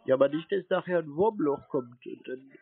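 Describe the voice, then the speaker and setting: Man, husky, highly varied pitch, naive and insistent phone chat, narrow phone sound. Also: lively intonation, brisk. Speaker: prankster taking scam calls. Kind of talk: casual phone conversation. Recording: dry narrow-band phone audio.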